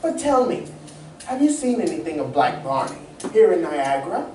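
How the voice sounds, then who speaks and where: A woman's voice: lowish voice, big pitch swings, mellow. A woman playing a composed, soothing great scientist in an old-time radio serial. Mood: calm reassuring. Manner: soothing, unhurried, reassuring. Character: Doctor Huer, composed great scientist of the future. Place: community theatre stage. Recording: roomy stage, distant mic, faint noise.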